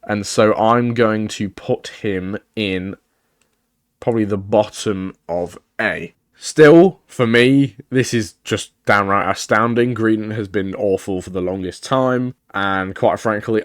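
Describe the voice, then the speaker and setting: Croaky-voiced young man with a cold. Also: on the low side, measured pace, dry recording, clean studio sound. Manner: chatty, opinionated, thinking aloud. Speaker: gaming video creator. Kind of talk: casual tier-list commentary.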